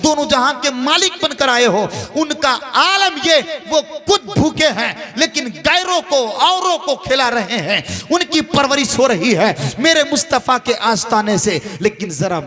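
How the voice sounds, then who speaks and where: High-pitched, forceful man preaching. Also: animated, measured pace, hardly any pauses, soft background music. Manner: exhorting, emphatic, rhetorical repetition and questions. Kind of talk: impassioned religious sermon.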